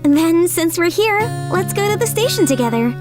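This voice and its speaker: A sweet, lonely anime high school girl from a rich family acted by a woman. Her voice is high and girlish with a gentle manner, and music plays clearly behind it. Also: lively intonation, moderate pace. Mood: friendly, concerned. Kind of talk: anime-style manga dub acting.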